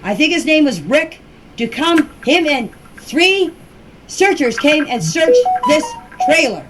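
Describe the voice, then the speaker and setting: A woman's voice: high-pitched, big pitch swings, slow pace, music in the background. A woman giving a defensive, insistent personal account in answer to questions, speaking emphatically in an informal voice message. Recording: noisy amateur voice-clip recording.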